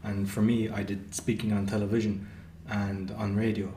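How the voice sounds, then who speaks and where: A male podcast host chats casually with his guest in a low voice. The room is echoey, and a faint hiss sits under his speech. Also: level tone, moderate pace, dark tone. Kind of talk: podcast interview.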